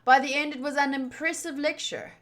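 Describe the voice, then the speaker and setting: A woman streamer reading game text aloud and reacting out loud with exclamations as she comments. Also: high voice, steady tone, a little fast. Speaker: visual novel playthrough streamer.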